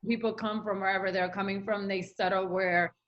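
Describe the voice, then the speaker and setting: A woman's voice: medium pitch, flat delivery, fairly bright tone. Thoughtful woman on a dull lo-fi mic. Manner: measured, reflective explaining. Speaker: podcast co-host. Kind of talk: casual chat.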